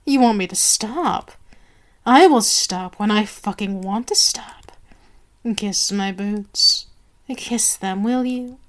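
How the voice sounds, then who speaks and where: Woman acting a slow, imperious vampire queen. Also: medium pitch, natural voice. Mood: cruel, commanding. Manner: haughty, drawn-out, teasing, dropping to whispers. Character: imperious sadistic female vampire queen, mocking and possessive. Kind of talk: ASMR roleplay monologue.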